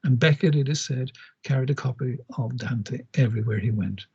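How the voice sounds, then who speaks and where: Man giving a measured academic lecture. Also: medium pitch, gravelly, a little mellow, slightly rough, highs cut off. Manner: measured, with frequent "uh" pauses and self-corrections. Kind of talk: academic lecture, partly read. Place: lecture delivered online or in a lecture room.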